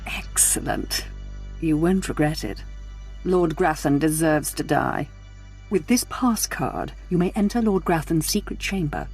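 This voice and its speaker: A woman's voice: deep voice, expressive, unhurried, a little mellow, slightly rough, soft background music, exaggerated voice. A woman voicing a scheming, power-hungry Sith noblewoman in a video game, formal, silky and imperious. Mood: sly, vengeful.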